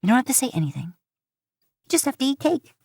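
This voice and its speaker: A woman's voice: sits high, very animated, fast, a little mellow, slightly rough, dry recording, studio quality, voice pushed up, full character voice. Woman reader performing middle-aged woman, upbeat, comforting, friendly, fiction reading, no room sound. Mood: cheerful, gentle.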